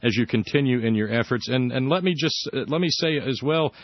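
A man's voice: medium pitch, a little fast, no echo. Earnest male radio host, lo-fi sound. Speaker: radio talk show host. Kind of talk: radio interview.

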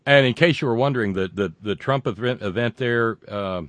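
A man hosting a show, reading viewer comments and delivering sarcastic political commentary that swings from loud rants to muttered asides, with frequent fillers and profanity. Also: medium pitch, brisk, no echo. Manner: mocking, builds into loud rants then drops to muttering; profane, many fillers and restarts.

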